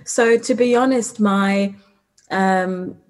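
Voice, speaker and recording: A woman's voice: mid-range voice, slow pace, a little mellow. Ugandan-born woman, reflective. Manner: reflective, earnest, many fillers. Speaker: business owner. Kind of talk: interview conversation.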